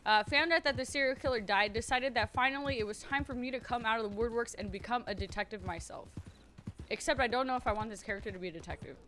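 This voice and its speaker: A woman streamer chatting loudly and nervously to her viewers, thinking out loud and swearing freely. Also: fairly high pitch, fast. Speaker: streamer playing a roleplay character. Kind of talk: casual chat to stream viewers.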